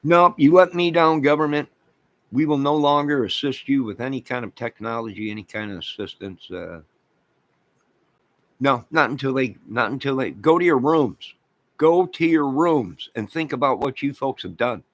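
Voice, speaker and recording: A man's voice: medium pitch, moderate pace, a bit bright, no echo. An American man giving a rambling, off-the-cuff rant as an online channel host, speaking in an exasperated, repetitive and emphatic manner.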